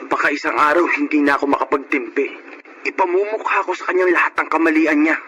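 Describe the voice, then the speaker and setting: A man's voice: fairly high pitch, steady tone, quick pace, pushed and bright, muffled recording. A young male actor playing a dutiful grown son and elder brother in an emotive Filipino radio drama. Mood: bitter. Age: young adult. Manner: earnest, emotive. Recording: radio drama with faint background noise.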